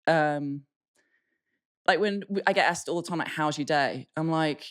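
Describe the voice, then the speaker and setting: Low-voiced English woman, chatty and blunt. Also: unhurried. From England. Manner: chatty, blunt, swears freely, self-mocking. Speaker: podcast co-host. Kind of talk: casual chat.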